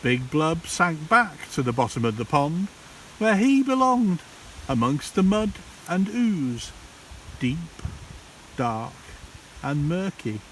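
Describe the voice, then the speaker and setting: A man, a primary school teacher, reads a children's storybook aloud in a theatrical, deliberate way with dramatic pauses. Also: on the high side, sing-song, a bit bright. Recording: distant mic, hissy background noise.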